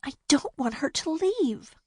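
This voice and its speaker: A woman voicing an excitable, pouty youngest sister with a breathy voice. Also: fairly high pitch, normal speed, no room sound, studio quality, pitched above the performer's own voice. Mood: pleading, upset. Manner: pouty, exasperated, gushing.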